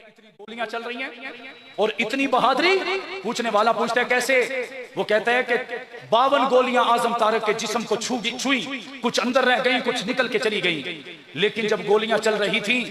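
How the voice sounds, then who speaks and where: A man with a Pakistani accent preaching in fiery, impassioned oratory, declaiming to rouse his listeners. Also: high-pitched. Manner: impassioned, declamatory, rousing the audience with questions. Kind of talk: fiery religious oratory to a crowd. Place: large religious gathering, heard over loudspeakers with a crowd. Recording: echoing PA sound with crowd noise.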